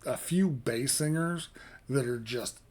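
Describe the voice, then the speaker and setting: Slow, husky man rambling casually. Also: a little mellow. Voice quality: fairly low voice. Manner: rambling, hesitant, many fillers and restarts. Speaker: reaction video host. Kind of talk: casual reaction commentary.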